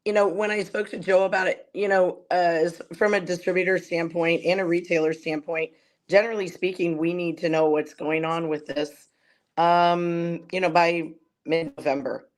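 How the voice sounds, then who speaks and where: Female trade guest, hoarse, half-gone voice, informal chat in a casual panel discussion. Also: fairly low pitch, steady tone, moderate pace. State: losing her voice, hoarse. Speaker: guest, comics distributor executive.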